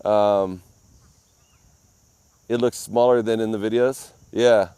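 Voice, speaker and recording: A man's voice: on the low side, fairly even intonation, takes it slowly, warm tone, clear, resonant tone, dry recording. Laid-back man chatting on a livestream. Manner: greets viewers by name, rambling and laughing. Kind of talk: casual live-stream chat. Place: outdoors at a garden cafe.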